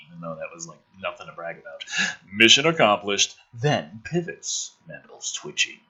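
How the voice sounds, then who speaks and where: Man, raspy, intense bright delivery, theatrical script narration, sarcastic, exasperated, profane asides. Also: fairly low pitch, poor audio. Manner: theatrical delivery with sudden emphasis. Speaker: host reading and mocking a film script. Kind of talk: dramatic script reading with sarcastic running commentary.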